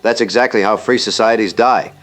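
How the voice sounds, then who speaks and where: An actor as a male military veteran on a call-in show. His voice is low, grave and resolute, with faint music underneath in this radio drama. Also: brisk, fairly bright tone, lightly acted. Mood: grave, emphatic.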